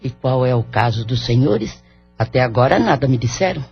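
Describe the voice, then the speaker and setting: An actor playing an elderly, businesslike woman spiritual worker in an audio drama, speaking in a measured, formal way. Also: medium pitch, lively intonation, gravelly. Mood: businesslike, probing. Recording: dull lo-fi audio with music underneath.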